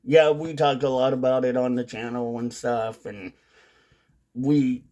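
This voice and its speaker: A man's voice: mid-range voice, fairly even intonation, a little slow, warm tone. Rambling man in a casual vlog monologue. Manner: rambling, repeats and rephrases points. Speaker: video creator on a dipping tobacco channel.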